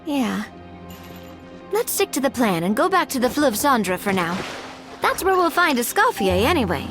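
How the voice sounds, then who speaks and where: A woman's voice: high-pitched, big pitch swings, normal speed. An actress playing a poised, friendly young woman who acts as a guide in an anime-style fantasy game. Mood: resolute. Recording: music behind the voice.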